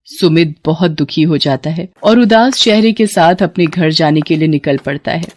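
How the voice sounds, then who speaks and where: A woman's voice: low voice, normal speed, darkish tone. Female storyteller with faint background noise. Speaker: narrator. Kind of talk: scripted story narration.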